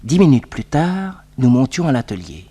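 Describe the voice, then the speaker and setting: An actor playing a detective's eager nephew, telling the case as a lively storyteller in a French radio serial. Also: male voice, medium pitch, moderate pace, slightly rough. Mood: eager, suspenseful.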